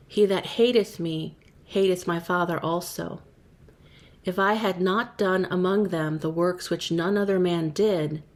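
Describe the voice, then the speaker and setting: A woman with a fairly low voice reads scripture aloud at a measured, steady pace. Her delivery is even and careful, giving each archaic phrase its own space. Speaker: scripture reader. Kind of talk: scripture reading.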